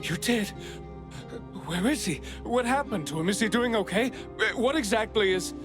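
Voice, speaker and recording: A man's voice: sits high, animated, moderate pace. An actor playing a worried, grateful father in a game cutscene, speaking in a hesitant, faltering way. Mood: urgent, anxious. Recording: music behind the voice.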